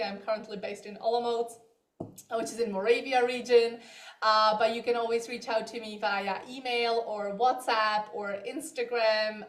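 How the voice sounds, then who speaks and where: A woman's voice: on the high side, echoey room. A woman education advisor hosting a livestream, talking in a friendly, casual way with fillers. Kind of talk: livestream presentation. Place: Moravia, Czech Republic.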